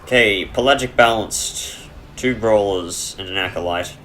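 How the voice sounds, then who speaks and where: A man giving casual, rambling commentary over a dungeon game on a headset mic, chesty and clearing his throat, with dry humor. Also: lowish voice, unhurried, a bit bright, slight background noise. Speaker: let's play gamer.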